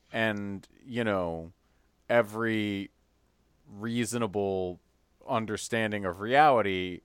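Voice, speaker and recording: A man with a smooth, resonant, mid-range voice co-hosting a podcast with wry, dry humor. He talks casually, often dropping quieter at the ends of thoughts. The recording is dry and close. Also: fairly low pitch, no echo. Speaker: podcast co-host. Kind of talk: casual chat.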